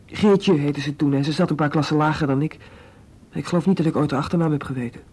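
A man's voice: on the high side, fast talker, a little mellow, husky. A young man playing a nervous, evasive seventeen-year-old schoolboy in a radio drama, hesitant, stammering and defensive. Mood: hesitant, polite.

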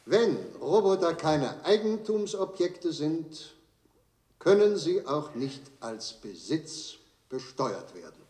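An actor playing a solemn, troubled judge delivering a verdict in a radio drama, with formal, grave and emphatic phrasing. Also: male voice, on the high side, sing-song, slow, warm tone. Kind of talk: German radio drama courtroom speech. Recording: echoey courtroom broadcast sound.

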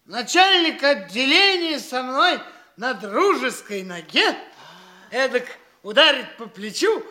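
A very high, bright voice that swoops about as a foppish young official from the capital. He is boastful and gallant in an affected, drawn-out stage-comedy style. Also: big pitch swings, exaggerated voice. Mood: boastful, excited. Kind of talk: theatrical classic stage comedy acting.

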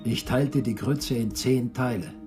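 An actor playing a grave camp prisoner bearing witness in a literary radio play narration. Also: male voice, medium pitch, measured pace, natural voice. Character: male labour-camp prisoner, grave witness telling the story. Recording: music behind the voice. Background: instrumental music.

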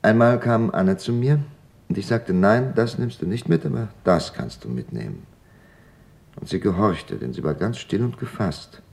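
An actor playing a grieving father who recalls wartime loss in a halting, reflective radio-play testimony. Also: fairly low pitch, expressive, creaky, darkish tone. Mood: somber, subdued. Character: grieving father recalling his family's wartime arrest and deportation.

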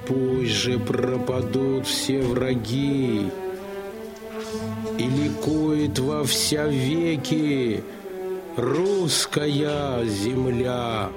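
A dying Cossack in a radio drama, solemn and patriotic. He draws each word out very slowly at a middle pitch, under loud music. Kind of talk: theatrical audio drama death speech.

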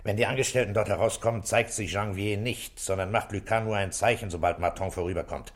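An actor playing a middle-aged, gruff and authoritative police inspector in a 1960s German radio play, speaking briskly and commandingly. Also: male voice, lowish voice, steady tone, lightly acted. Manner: brisk, commanding, matter-of-fact.

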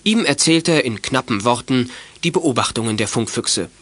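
A man's voice: medium pitch, animated, normal speed, noisy background. A man narrating an audio drama from a script. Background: music.

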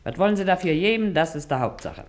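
A wily working-class Berlin mother played by a woman in a radio drama. Her dialect is broad and earthy, her manner blunt and bossy, and her pitch swings widely as she drives a hard bargain. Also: expressive, quick pace, natural voice. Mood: brisk, insistent. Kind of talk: German radio play acting.

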